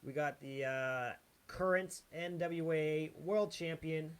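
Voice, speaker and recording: A man's voice: on the high side, takes it slowly, clean tone. A man hosting a livestream, chatting loosely and hyping an upcoming guest while he checks the setup before the show. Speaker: livestream host.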